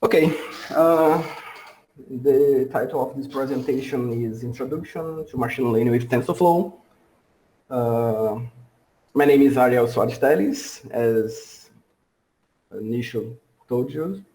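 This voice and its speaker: A man from Brazil with a Brazilian accent giving a workshop lecture over a video call, halting and full of fillers and restarts. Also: medium pitch, mellow, rough recording. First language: Portuguese.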